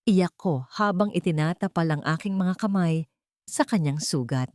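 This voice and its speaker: A woman's voice: on the low side, normal speed, a little mellow, no room sound, studio quality. A woman narrating a dramatic love story, earnest and emotional.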